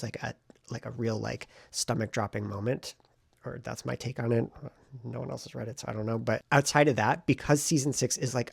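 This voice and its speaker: A man talking in a casual vlog style, thinking aloud in an informal, meandering way, with a husky voice. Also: mid-range voice, dry recording, clean studio sound. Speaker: vlogger and fiction writer. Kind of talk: casual rambling vlog talk.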